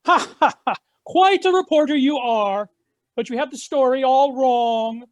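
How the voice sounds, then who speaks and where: A woman's voice: high-pitched, a little slow, noisy background. A woman acting in a stage farce as a haughty, theatrical man who poses as his own daughter.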